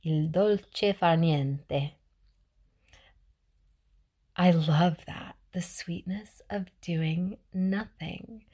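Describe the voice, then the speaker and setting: A young woman speaks slowly in a lowish voice. She hosts a mindfulness show in a chatty, reflective way, often restarting her sentences and sometimes softening to a whisper. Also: breathy edge. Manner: chatty with frequent restarts, drops to soft whispers. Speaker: mindfulness podcast host. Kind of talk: casual reflective monologue.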